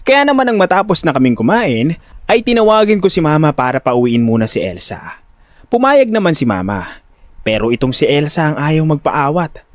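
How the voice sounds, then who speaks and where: Male first-person story narrator: lively swooping intonation, expressive and exasperated, close dry recording. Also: fairly high pitch, moderate pace, dry recording. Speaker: narrator and lead voice actor.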